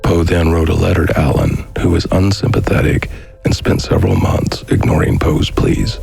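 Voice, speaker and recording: A man reading scripted documentary narration in a measured, formal manner. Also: sits low, steady tone, vocal fry, a little mellow, breathy edge. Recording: faint music behind the voice. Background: faint background music.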